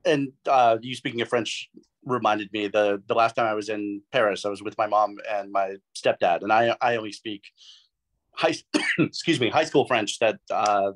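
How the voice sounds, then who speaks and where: A man's voice: lowish voice, fairly even intonation, moderate pace, low-quality recording. A man telling a story on a video call, hesitant with many fillers. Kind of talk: casual group video call.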